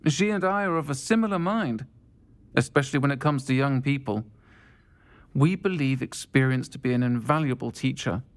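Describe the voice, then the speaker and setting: An actress playing a warm, wistful middle-aged pub landlady witch in a video game, with a British accent and a gentle, conversational manner. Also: rumbling low, moderate pace. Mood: fond, pleased. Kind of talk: video game cutscene dialogue.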